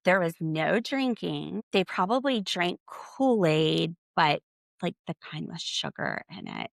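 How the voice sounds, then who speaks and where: A woman's voice: fairly low pitch, slow, creaky, no room sound, clean studio sound. A woman hosting a podcast and recapping reality TV with sassy, snarky commentary. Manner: snarky, sassy, animated asides. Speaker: podcast host.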